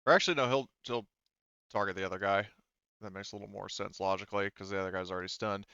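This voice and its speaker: A man's voice: lowish voice, fairly even intonation, fast, pushed and bright, clean tone, no room sound, dull audio. A man casually running a tabletop roleplaying game as game master, thinking aloud as he narrates.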